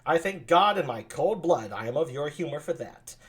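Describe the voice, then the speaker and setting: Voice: mid-range voice, animated, normal speed, close to an everyday voice. A reader voicing a witty, scornful young lady with a mocking edge.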